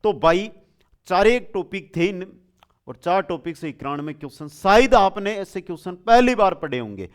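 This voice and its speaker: High-voiced man teaching. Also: expressive, moderate pace, clear, resonant tone. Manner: repeats questions and answers, addresses students familiarly. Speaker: online exam coaching teacher. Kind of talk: quiz-style lesson, asking questions and giving answers.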